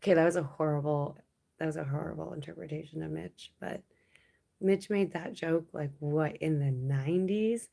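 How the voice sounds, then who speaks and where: A young woman from Canada hosting a late-night livestream, chatting with viewers in a relaxed, rambling way and laughing often. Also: low voice, moderate pace, vocal fry. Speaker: livestream host.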